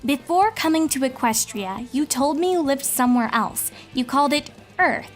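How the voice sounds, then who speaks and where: A woman's voice: high voice, animated, normal speed, soft background music. A woman voicing an eager, bookish young female pony scientist in an audio drama, earnest and easily exasperated. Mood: excited. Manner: earnest, explanatory, chiding. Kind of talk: fan fiction audio drama.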